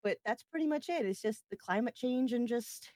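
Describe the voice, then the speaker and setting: A woman from the US East Coast co-hosting a podcast, chatting in a giggly, animated way and swearing casually. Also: a little high, normal speed, no room sound, clean studio sound. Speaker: podcast co-host. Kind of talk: casual chat.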